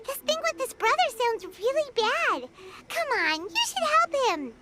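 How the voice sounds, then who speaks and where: A woman voicing a tiny, excitable fairy girl companion in a video game, bubbly and squeaky with drawn-out exclamations. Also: loud music behind the voice, full character voice. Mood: eager.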